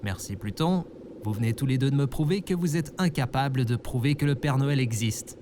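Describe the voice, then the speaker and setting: A man's voice: mid-range voice, brisk, noisy background, lightly acted. A man playing a skeptical, exasperated everyman in an audio comedy, with a dry manner. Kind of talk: audio fiction comedy acting.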